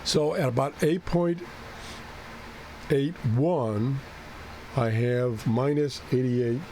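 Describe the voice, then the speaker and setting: A man who is losing his voice and keeps clearing his throat talks through a radio setup, thinking aloud and correcting himself as he goes. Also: mid-range voice, slow pace, darkish tone, slight background noise, low-quality recording. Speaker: ham radio video host. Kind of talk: unscripted screen-share walkthrough.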